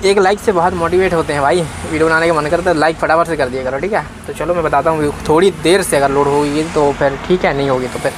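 Higher-pitched young man vlogging, noisy background. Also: fast talker, few pauses. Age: 20s. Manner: informal, addresses viewers as brother. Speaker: truck driver and vlogger. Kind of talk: casual vlog chat to viewers. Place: parked truck cab and roadside outdoors. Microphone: phone at arm's length. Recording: clear traffic and vehicle noise. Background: vehicles and urban street ambience.

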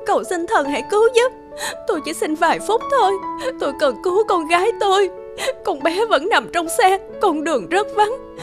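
An actress playing an anguished, emotional woman who pleads and grieves in an animated drama. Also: extremely high pitch, normal speed, over music. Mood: desperate pleading.

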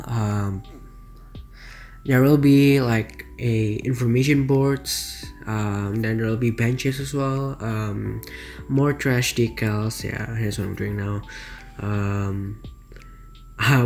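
A man's voice: mid-range voice, darkish tone. A young man from Indonesia with an Indonesian accent, speaking English as a second language and rambling hesitantly over a city-building game time-lapse, full of fillers, self-corrections and apologies. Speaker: city-building gameplay creator. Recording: faint background music under the voice.

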